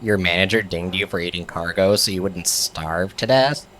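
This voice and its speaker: A man's voice: low voice, normal speed, fairly bright tone, slight background noise. A man playing a grumpy, sarcastic alien bug in a comedy audio drama, with dry, grudging wisecracks. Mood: sardonic.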